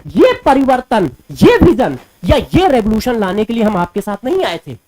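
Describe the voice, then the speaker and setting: छात्रों से बात करती एक शिक्षिका जोश के साथ अपनी बात रखती है और ज़ोर देने के लिए आंकड़े दोहराती है।. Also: female voice, fairly high pitch, big pitch swings, normal speed, darkish tone, poor audio. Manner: emphatic, repeats figures for stress. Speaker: educator speaking to students. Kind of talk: impassioned commentary to camera.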